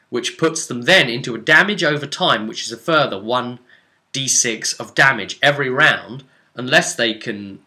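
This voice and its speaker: A British man with a very bright, forceful voice recaps a role-playing game as the game master. He tells it informally and dramatically, breaking into exclamations, asides and rhetorical questions. His pitch sits in an ordinary middle range for a man. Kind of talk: animated informal storytelling to camera.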